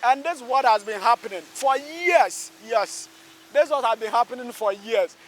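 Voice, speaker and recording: A man with a Ghanaian accent working as a radio reporter, interviewing on location in a halting way, restarting phrases. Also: very high-pitched, expressive, a bit bright, slight background noise, low-quality recording. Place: outdoors by a busy road underpass.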